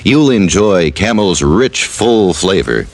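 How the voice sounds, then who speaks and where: A man reading a 1950s radio sponsor pitch as a second announcer, crisp and confident. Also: animated, slow.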